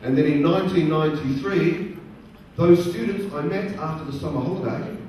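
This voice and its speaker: Middle-aged male lecturer, mellow tone, plain repeated phrasing, heard from afar in a booming hall with faint noise. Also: far from the mic. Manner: slow, simple wording with repeated phrases for learners; asks the audience questions. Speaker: guest speaker at a career event. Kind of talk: lecture to a live audience. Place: large conference hall.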